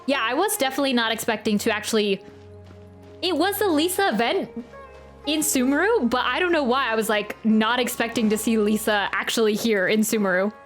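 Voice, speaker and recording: A woman's voice: a little high, animated, fairly quick. A woman livestreaming a video game, giggly and rambling as she chats casually with her viewers. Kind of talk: casual livestream reaction chat. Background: game music.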